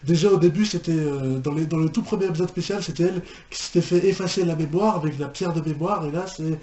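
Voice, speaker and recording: A young man giving unscripted reaction commentary, halting and repeating himself in an incredulous way. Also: on the high side, dark tone, muffled recording. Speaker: reaction video host. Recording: echoey room with background noise.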